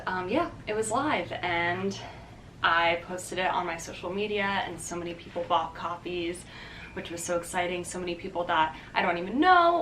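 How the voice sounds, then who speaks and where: A young woman talks casually to her viewers in a chatty, upbeat way, her voice on the lower side. The sound is roomy and echoey, and she sits a little back from the mic with faint noise behind her. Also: fairly bright tone, recorded from a distance. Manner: chatty and upbeat, many fillers like "um" and "like". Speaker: vlogger. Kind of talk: casual talk to camera. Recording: echoey room, voice a bit off-mic.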